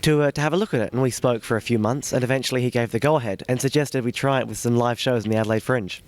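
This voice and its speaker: A man, a director explaining his production in a brisk, promotional way. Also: mid-range voice, no room sound. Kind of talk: casual interview.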